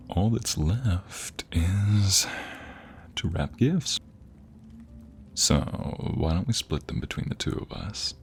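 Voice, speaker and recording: A man playing an affectionate, playful husband in an intimate ASMR roleplay, speaking tenderly and teasingly with breathy, whispery softness. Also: deep voice, a little hiss or hum, exaggerated voice. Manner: teasing, tender, chuckling, with drawn-out soft phrases. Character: affectionate, playful young husband sweet-talking his wife.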